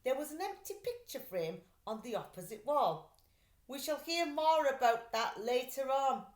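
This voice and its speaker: A woman reading a children's story aloud like a teacher, with expressive, emphatic delivery. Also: high-pitched, unhurried. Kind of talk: scripted storybook narration. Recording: echoey room.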